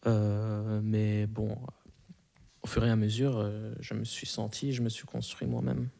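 Young man, hesitant, dark mellow voice. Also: lowish voice, flat delivery, measured pace, creaky. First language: Arabic. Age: 20s. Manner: hesitant, many fillers and restarts. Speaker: interviewee. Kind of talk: casual interview answers.

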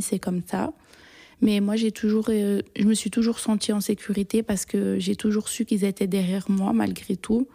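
A young woman from Belgium, answering interview questions shyly, with many pauses, fillers and restarts. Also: medium pitch, vocal fry, mellow. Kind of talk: hesitant personal interview answers.

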